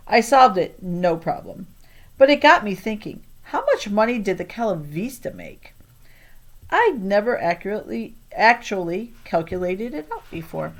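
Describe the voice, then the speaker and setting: A woman reads a children's novel aloud as a first-person narrator. Her voice sits at a middle pitch and she tells the story expressively. Now and then she trips on a word and reads it again. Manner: stumbles and re-reads words. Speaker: audiobook reader.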